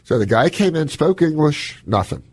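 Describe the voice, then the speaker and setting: A husky-voiced man co-hosting a health radio show, chatting and telling anecdotes in an animated, folksy way. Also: fairly high pitch, normal speed, no echo. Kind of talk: casual talk and anecdotes. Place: radio studio.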